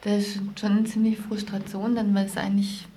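A woman's voice: medium pitch, completely flat delivery, a little fast, warm tone, a little hiss or hum. A woman speaking as a guest in a casual radio discussion, tentative and often ending phrases with a question tag. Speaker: interview guest, activist.

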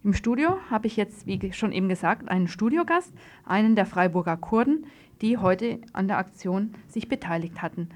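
A woman's voice: mid-range voice, expressive, normal speed. Female radio host, mid-range voice, informal news interview style, conversational and probing. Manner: conversational, probing follow-up questions. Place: radio studio.

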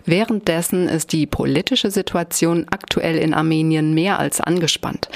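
A woman's voice: on the low side, no echo. A woman hosting a radio magazine, reading scripted moderation.

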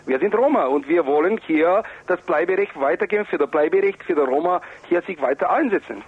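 A man with a high, raspy voice makes an insistent political appeal as a non-native speaker from the former Yugoslavia. He circles back to his points with pleading emphasis. The sound is thin and phone-like. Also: lively intonation, brisk, no room sound. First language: not German. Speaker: Roma activist and interviewee. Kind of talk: impassioned political radio interview. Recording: dull, narrow phone-like radio sound.

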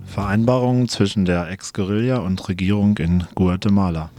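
A man moderates morning radio in a low voice. Loud guitar music plays along with him as he talks. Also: steady tone, normal speed. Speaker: radio presenter.

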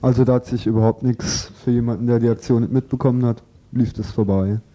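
A man hosting an unscripted radio interview, talking informally and a little haltingly as he presents and asks questions. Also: medium pitch, fairly even intonation, warm tone.

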